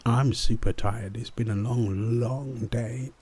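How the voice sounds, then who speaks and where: A man's voice: mid-range voice, steady tone, slow pace, darkish tone, breathy edge. A man in an intimate comfort roleplay as a husband and father, speaking tenderly and soothingly.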